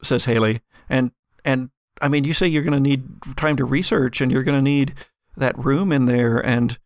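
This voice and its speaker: A man's voice: medium pitch, moderate pace, no echo, clean studio sound. A man acting as game master of a tabletop roleplay podcast, improvising narration and in-character dialogue.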